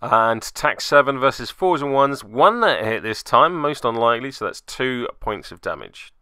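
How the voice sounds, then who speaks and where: A man's voice: mid-range voice, expressive, normal speed, sharp tone, clean tone, no echo, studio quality. A man hosting and narrating a tabletop game move by move, with theatrical flourishes and wry asides. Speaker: podcast host and player.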